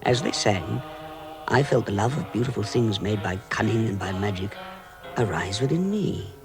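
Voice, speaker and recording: A wistful hobbit gentleman narrating in a vintage radio play. The voice is British, creaky and measured, reflective in its storytelling, with music clearly behind it. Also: lowish voice. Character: home-loving hobbit gentleman wistfully recalling his adventure. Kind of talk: first-person narration in vintage radio drama.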